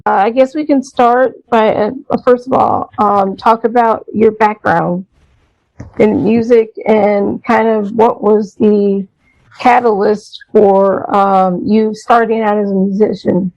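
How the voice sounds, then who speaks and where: A woman's voice: mid-range voice, fairly even intonation, takes it slowly, vocal fry, a little mellow, no room sound. A woman hosting a casual music interview, speaking with a hesitant manner full of fillers. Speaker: podcast host. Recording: dull lo-fi sound.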